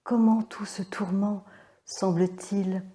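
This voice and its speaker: A woman reading poetry alone in a measured, hushed way, pausing after short phrases. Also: medium pitch, steady tone. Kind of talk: slow solo poetry reading.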